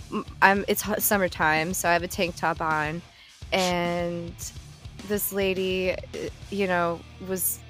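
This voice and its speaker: Laughing, swearing woman telling stories. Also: fairly low pitch. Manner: animated storyteller, swears freely, laughs often. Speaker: podcast host. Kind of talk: casual chat.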